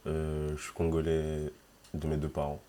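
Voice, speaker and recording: A man's voice: very deep voice, completely flat delivery, moderate pace, over a noisy crowd. A man in his late twenties, a film student talking in a laid-back, agreeable way in a group discussion. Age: 20s.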